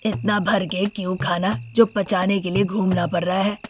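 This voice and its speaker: A woman voicing a gentle, protective older father-in-law in a moral story, speaking in a measured, admonishing way. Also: medium pitch, flat delivery, a little hiss or hum, close to an everyday voice. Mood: reproachful, calm. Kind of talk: Hindi moral story character voice.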